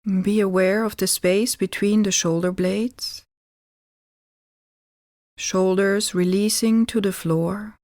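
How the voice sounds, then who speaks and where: A woman guiding a relaxation meditation as a yoga teacher, calm and deliberate, giving gentle instructions with pauses. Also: mid-range voice, dry recording, studio quality.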